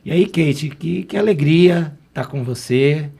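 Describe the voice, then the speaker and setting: A man's voice: on the high side, slow pace, creaky, dark tone, slightly rough. A man hosting a podcast, talking in a chatty, loose way with fillers and restarts. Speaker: podcast host.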